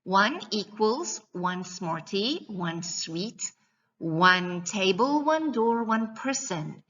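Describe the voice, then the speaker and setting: A woman's voice: medium pitch, expressive, extremely slow, fairly bright tone, dull audio. A woman teacher giving a scripted presentation to parents in a didactic, carefully enunciated way.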